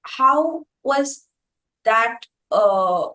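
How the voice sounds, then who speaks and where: A woman with an Indian accent interviewing conversationally, in long, halting questions that she rephrases as she goes. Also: fairly high pitch, expressive, fairly bright tone.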